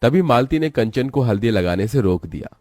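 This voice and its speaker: A man's voice: medium pitch, animated, normal speed, a little mellow, dry recording, studio quality. A man narrating a scripted, dramatic story as a storyteller.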